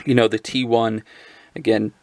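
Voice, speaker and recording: A man giving a casual, off-the-cuff product overview in a halting, conversational manner. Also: medium pitch, little pitch movement, darkish tone, no echo. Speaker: product reviewer. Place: indoors at a tabletop.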